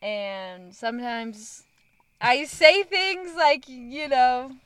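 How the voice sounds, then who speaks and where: A woman's voice: high-pitched, very slow, clean tone, no echo. A woman from Utah with a Utah accent, chatting casually and telling stories in a chipper, bubbly way. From Utah, USA. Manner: animated storyteller, laughs often, chipper and bubbly. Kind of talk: casual chat.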